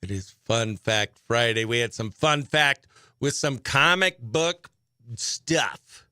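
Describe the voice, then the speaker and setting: A man's voice: mid-range voice, lively intonation, takes it slowly, sharp tone, clear, resonant tone, dry recording, clean studio sound. A man chatting as a morning radio show host, upbeat and talkative, sometimes muttering to himself as he works. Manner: upbeat, chatty, mutters to self while working. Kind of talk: behind-the-scenes radio chat. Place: radio studio.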